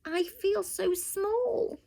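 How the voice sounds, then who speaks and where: A woman's voice: very high-pitched, very slow, vocal fry, warm tone, voice pushed up, full character voice. A woman voicing a tiny, plucky female snail from a storybook, speaking earnestly and emphatically. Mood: small, wistful.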